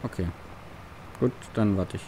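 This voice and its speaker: Chatty young guy, lowish mellow voice, casual game commentary. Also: measured pace, slight background noise, low-quality recording. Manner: chatty, laughs between phone calls. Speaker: let's play roleplay host.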